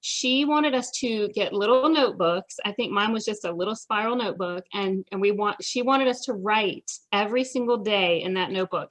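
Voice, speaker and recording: Chatty American woman with medium-pitched voice. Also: poor audio. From United States. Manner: chatty, enthusiastic. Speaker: interviewed children's author. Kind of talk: casual personal storytelling.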